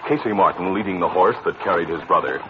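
A man's voice: low voice, lively intonation, quick pace. A man announcing and narrating a 1950s radio western in a polished, dramatic delivery. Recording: muffled, noisy old radio broadcast.

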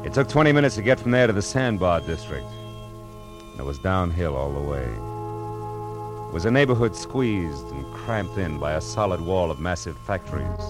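A world-weary American gumshoe from 1940s radio noir, with a low, cynical male voice. He talks in sardonic slang, tough and wisecracking. Music runs faintly behind him. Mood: grim narration. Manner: hard-boiled, sardonic, slangy.

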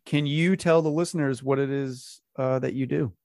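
A man hosting a podcast, raspy while getting over a cold, speaking in a relaxed, upbeat way. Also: mid-range voice, normal speed, no room sound, studio quality. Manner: relaxed, upbeat, loose with fillers. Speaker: podcast host. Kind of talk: casual podcast interview.

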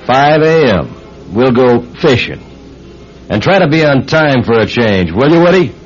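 An actor playing a troubled, earnest investigator in a grave, dramatic radio drama style. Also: male voice, mid-range voice, sing-song, measured pace, soft background music. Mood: grieving, weary. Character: troubled, earnest male investigator narrating his case. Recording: dull radio drama recording.

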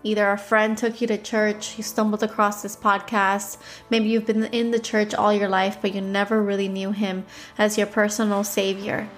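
A woman with a stuffy nose hosting a devotional podcast, reading scripture and telling a story in a conversational way. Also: medium pitch.

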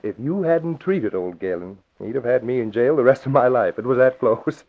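An actor playing a crooked, smooth-talking lawyer who is oily and quick to bluster, in 1940s radio acting style. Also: male voice, mid-range voice, animated. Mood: scheming. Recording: tinny phone-like old radio recording.

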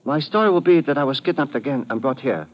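An actor playing a smug, coldly menacing foreign doctor who schemes in formal, precise phrasing, in old-time radio drama style. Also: male voice, medium pitch, lively intonation, fast talker, close to an everyday voice. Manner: formal, precise, clipped wording with dropped articles. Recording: vintage muffled radio broadcast.

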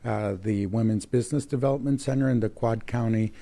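A man born in Mexico and raised near Chicago, a chamber of commerce president talking casually in an interview, friendly, with many pauses and restarts. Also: mid-range voice, steady tone, gravelly, warm tone. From Mexico, raised in Chicago area.

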